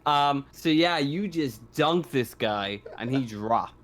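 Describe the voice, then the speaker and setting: A man's voice: medium pitch, expressive, slow, a bit bright, slight background noise. Man running a tabletop game, informal. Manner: informal, sweary. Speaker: game master of a tabletop roleplaying game. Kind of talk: casual game narration and rules talk.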